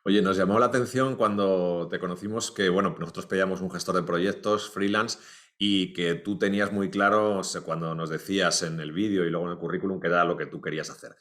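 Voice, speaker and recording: Co-host interviewer: man, very low pitch, informal and conversational. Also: steady tone, fairly quick, a bit bright.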